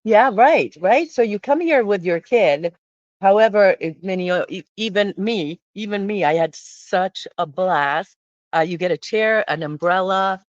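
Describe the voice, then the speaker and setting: A woman's voice: mid-range voice, measured pace, dry recording, dull audio. A woman hosting a travel vlog, talking casually and emphatically, often throwing out questions as she explains. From born in Hungary. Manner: chatty, emphatic, asks questions.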